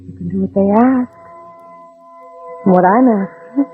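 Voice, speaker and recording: A woman's voice: medium pitch, unhurried, narrow, tinny audio, faint music behind, lightly acted. An actress playing a brave, protective mother in a 1960s radio drama, pleading earnestly and persuasively with an armed man. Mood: gentle, coaxing. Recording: old band-limited radio recording, husky, dry.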